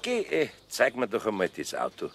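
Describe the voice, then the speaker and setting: A sly, kindly old master carpenter. His voice is gravelly and husky, rising and falling a lot as he chuckles his way through. Folksy and good-humoured. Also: fairly low pitch, wildly swooping pitch, normal speed, full character voice. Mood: friendly. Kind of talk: children's radio play.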